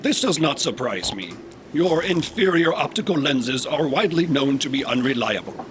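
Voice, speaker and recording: Over clear background noise, a haughty robot played by a man talks down to humans in a sci-fi comedy drama. The voice is mid-pitched and very animated, with pompous, formal phrasing. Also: moderate pace, lightly acted. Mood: scornful. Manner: pompous, formal, insulting diction. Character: haughty robot, scornful of humans, secretly soft.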